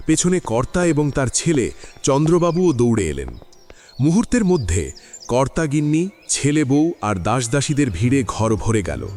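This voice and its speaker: A man narrating a classic story in an audio drama, with expressive storytelling. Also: mid-range voice, moderate pace, soft background music.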